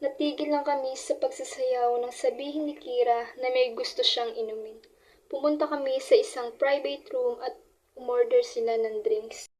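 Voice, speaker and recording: A woman's voice: sits high, fairly even intonation, moderate pace, a little mellow, rough recording. A woman playing a regretful young woman who narrates her own past in a scripted radio drama. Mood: reflective.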